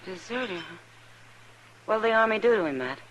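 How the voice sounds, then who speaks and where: A woman's voice: medium pitch, animated, brisk, a bit bright, highs cut off. An American actress playing a worldly, sympathetic frontier woman in a 1950s Western radio drama, casual and conversational, on an old recording. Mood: concerned.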